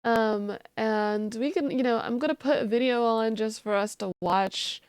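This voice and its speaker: A woman streamer with a slightly high voice chats loosely with her viewers, sounding a little sleepy since she just woke up. Her voice is dry and close, with no room around it. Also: a little slow, smooth, no echo. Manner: chatty, thanks viewers warmly and giggles. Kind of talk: casual live-stream chat.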